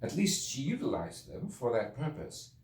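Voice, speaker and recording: A man voicing an earnest Victorian gentleman time traveler recounting his ordeal in a storybook reading, formal, brooding and deliberate. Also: mid-range voice, wildly swooping pitch. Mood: reflective. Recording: echoey room, distant from mic.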